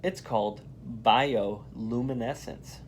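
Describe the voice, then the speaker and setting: A man voicing an adventurous, upbeat woman science teacher in a storybook, explaining and announcing things brightly. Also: mid-range voice, slow pace, a little hiss or hum.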